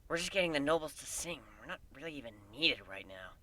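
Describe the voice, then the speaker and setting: A man's voice: fairly high pitch, sing-song, fast talker, sharp tone, slight background noise. A man voicing an unimpressed, casual female griffin in a story reading. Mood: bored. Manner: unenthused.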